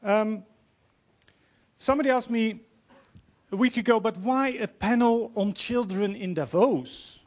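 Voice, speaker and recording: Man, 50s, Dutch accent, higher voice, earnest forum moderator on a handheld mic. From Netherlands. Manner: earnest, measured, quoting speakers. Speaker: panel moderator. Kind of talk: formal opening speech. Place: large auditorium on stage.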